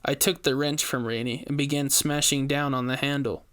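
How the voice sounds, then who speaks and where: A man's voice: medium pitch, steady tone, normal speed, fairly bright tone, smooth. A man giving a scripted first-person narration of a horror story, as its narrator.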